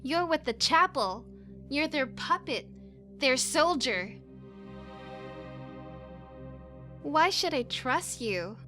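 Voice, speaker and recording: A woman's voice: sits high, animated, slow pace, sharp tone, soft background music, full character voice. A woman acting a young angel, distrustful and easily frightened, in a sci-fi visual novel.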